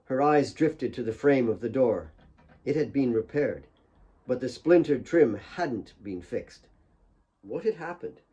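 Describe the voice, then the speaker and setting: A man's voice: mid-range voice, dark tone, muffled recording. A man reading his own fiction as a dramatic audiobook narrator.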